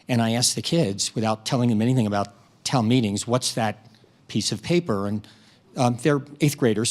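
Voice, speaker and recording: A man who teaches speaks at a town hall with a mid-pitched voice. He talks in a measured, storytelling way and often restarts his phrases as he works toward a point. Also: a little mellow.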